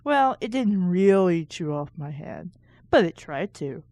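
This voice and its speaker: A woman's voice: on the low side, animated, a little slow, warm tone, dry recording. Dry-sounding, irreverent woman reading aloud casually. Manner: irreverent, sarcastic, mildly crude commentary. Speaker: reader and reviewer of children's books. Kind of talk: casual book read-aloud with joking asides.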